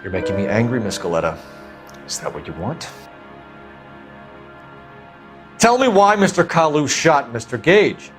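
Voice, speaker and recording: An actor playing a stern, formal police officer in a video game drama, with a clipped, authoritative manner. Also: male voice, medium pitch, very animated, fairly quick, music in the background, natural voice.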